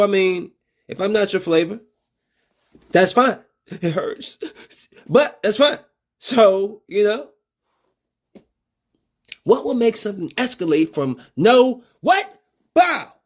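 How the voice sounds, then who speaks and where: A man with a high, bright-pitched voice holds forth on his own podcast. His talk is loose and full of slang. He breaks into animated, raised-voice re-enactments of confrontations as he makes his points. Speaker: podcast host. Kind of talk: solo opinion podcast talk.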